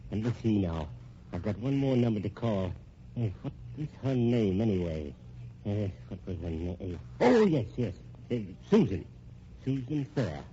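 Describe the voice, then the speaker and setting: An actor playing a vengeful, folksy old rich American husband in a vintage radio drama, hesitant, rambling and slyly menacing. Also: male voice, lowish voice, sing-song, unhurried, warm tone. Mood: muttering, amused. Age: older. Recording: muffled, noisy old radio recording.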